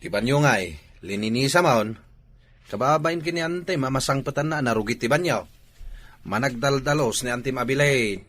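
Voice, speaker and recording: A man's voice: mid-range voice, moderate pace, fairly bright tone, natural voice. An actor with an Ilocano accent playing a fussy, chatty father at home in a comedy drama.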